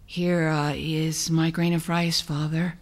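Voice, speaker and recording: A woman's voice: low voice, level tone, slow pace, voice pushed down. A woman voicing a practical know-it-all princess in a storybook tale, with an offhand, dismissive manner. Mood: evasive, muted.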